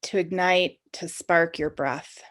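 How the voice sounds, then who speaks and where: A woman's voice: on the low side, fairly even intonation, takes it slowly, a bit bright. A woman leading a guided meditation in an informal, conversational and inviting way, as the guide of the session.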